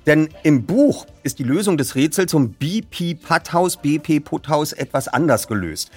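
A man's voice: on the high side, animated, moderate pace, a little mellow, husky. A man presenting a radio play feature, talking casually and reading out plot points.

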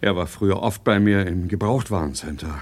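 An actor playing an obliging middle-aged uncle who deals in junk, reassuring and eager, in a German audio drama. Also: male voice, deep voice, fairly quick, slight background noise, lightly acted.

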